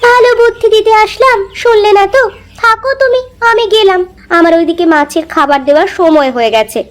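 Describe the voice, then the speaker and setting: A woman voicing a haughty, spiteful young village wife in a cartoon, taunting and shrill. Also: brisk. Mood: taunting, smug. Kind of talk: cartoon voice acting.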